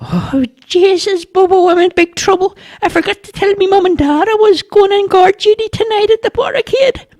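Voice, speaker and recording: Anxious little Irish boy, very high. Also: fairly even intonation, a little slow, gravelly, heavily acted. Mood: panicked. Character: timid young boy Charlie, eager and anxious.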